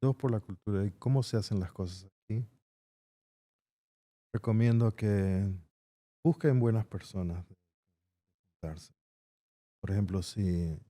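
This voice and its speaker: A middle-aged man from Peru, a guest answering interview questions in a plain, unhurried way. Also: on the low side, mellow. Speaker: guest, construction entrepreneur. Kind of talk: casual interview conversation.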